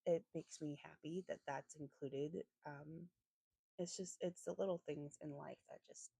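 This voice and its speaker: A woman with a slightly raspy voice giving a casual craft voiceover, rambling hesitantly and often correcting herself as she goes. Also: low-pitched, steady tone, measured pace, dark tone, dry recording. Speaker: planner vlogger and host.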